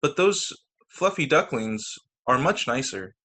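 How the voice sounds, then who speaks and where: A man's voice: mid-range voice, animated, unhurried, pushed and bright. A man voicing a proud but easily saddened mother hen in a children's storybook read aloud. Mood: wistful, glum. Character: proud mother hen, growing glum and wistful.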